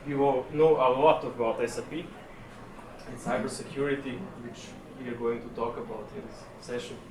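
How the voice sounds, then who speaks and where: A man from Serbia gives a technical conference presentation in a relaxed, chatty way, pausing often on fillers. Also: mid-range voice. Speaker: conference speaker. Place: conference session room. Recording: echoey room, distant mic, room noise.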